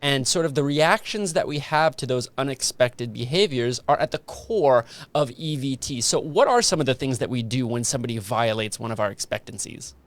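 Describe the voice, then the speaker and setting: A man's voice: mid-range voice, a little fast, few pauses. A man hosting a casual interview podcast, chatting informally and explaining things as he goes. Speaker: podcast host.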